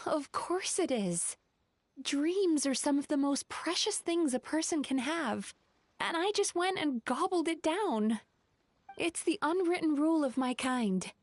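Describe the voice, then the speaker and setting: A woman's voice: high-pitched, lively intonation, a little slow, no echo. A woman voicing a polite, earnest young female dream-eating spirit in a video game. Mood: remorseful.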